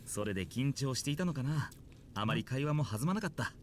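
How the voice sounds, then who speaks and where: A man's voice: mid-range voice, animated, very fast, slight background noise, natural voice. An actor voicing a chatty, mildly amused man in authority in a visual novel. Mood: musing. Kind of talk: Japanese visual novel voice acting.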